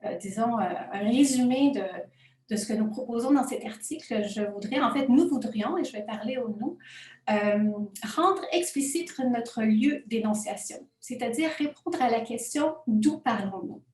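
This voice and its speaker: A woman whose first language is not French gives an academic conference talk from her home office, pausing often with filler sounds. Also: on the high side, a little mellow. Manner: hesitant, frequent filler sounds. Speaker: conference presenter. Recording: roomy distant home recording.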